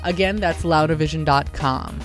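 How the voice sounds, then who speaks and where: A woman hosting a podcast, reading her own promo upbeat and clearly before interviewing a guest. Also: deep voice, moderate pace, soft background music. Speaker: podcast host.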